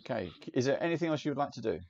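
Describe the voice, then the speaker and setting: Man, game master, gravelly voice, muffled lo-fi audio with light hiss. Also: mid-range voice, very fast. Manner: relaxed, meandering narration with fillers. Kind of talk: narrating a tabletop game. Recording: dull lo-fi audio, faint hiss.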